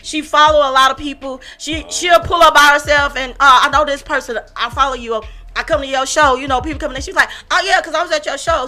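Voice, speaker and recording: A woman in her fifties with a high, forceful voice, chatting casually on a podcast. She is blunt and profane and hammers her phrases by repeating them. Music plays faintly behind her. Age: 50s. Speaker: podcast co-host. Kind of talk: casual, animated podcast chat.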